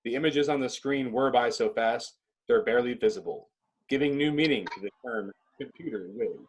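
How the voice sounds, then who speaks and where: A man with a husky voice narrating a script in a clipped, descriptive reading style. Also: medium pitch, fairly quick, low-quality recording.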